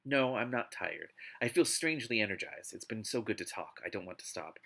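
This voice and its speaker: A giddy young heroine of a romance audiobook, acted out by a man, in a bright, forceful voice. The delivery is melodramatic and incredulous, infatuated with every line. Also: fairly low pitch, expressive, a little fast, gravelly, full character voice. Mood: earnest.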